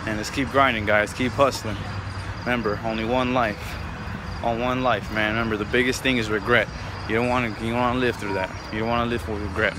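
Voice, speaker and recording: A man in his twenties chatting casually to his viewers in slangy, repetitive motivational talk, recorded on a handheld phone outdoors. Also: medium pitch. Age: 20s. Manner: informal, slangy, repetitive hustle pep talk. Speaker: vlogger. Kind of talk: casual motivational vlog chat. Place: outdoors at night in an open-air shopping mall. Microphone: handheld phone at arm's length. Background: wind and outdoor urban noise.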